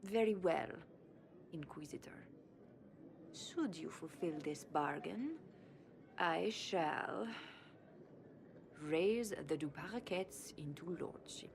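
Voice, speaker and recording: A woman's voice: on the low side, lively intonation, slow pace, gravelly, long pauses, noisy background, heavily acted. An actress playing a haughty, aging female noble minister in a video game, speaking imperiously and with disdain. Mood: calculating. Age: older.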